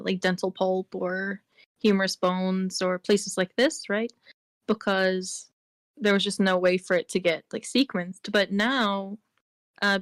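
A woman's voice: mid-range voice, fairly bright tone, no room sound. A woman being interviewed on a podcast, explaining at length in a casual way, with frequent "like" and "um". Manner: explains at length, with many fillers like "like" and "um". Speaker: interview guest.

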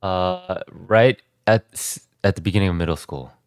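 A young American man telling his life story as a podcast guest, talking in a relaxed, rambling way with many restarts. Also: deep voice, normal speed, gravelly, no room sound, studio quality. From United States. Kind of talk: casual conversation.

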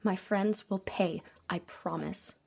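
A woman's voice: mid-range voice, fairly even intonation, takes it slowly, gravelly, darkish tone, husky, exaggerated voice. A woman playing a frightened, crying captive young woman pleading with her kidnapper.

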